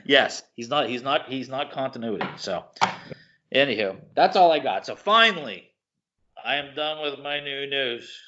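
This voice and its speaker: A man co-hosting a podcast in casual chat, excitable and rambling, often restarting his sentences. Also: mid-range voice, moderate pace, slight background noise. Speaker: podcast co-host. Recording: dull lo-fi podcast audio.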